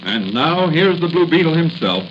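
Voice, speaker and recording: A man's voice: on the high side, sing-song, moderate pace, fairly bright tone. A man narrating as a formal, dramatic 1940s radio announcer. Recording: hissy, dull 1940 radio recording.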